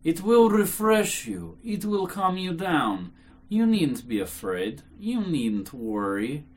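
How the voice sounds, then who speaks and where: A woman voicing an extremely polite male district attorney, coaxing gently and slowly in a novel reading. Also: lowish voice, sing-song, breathy edge, pitched above the performer's own voice, exaggerated voice. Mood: soothing.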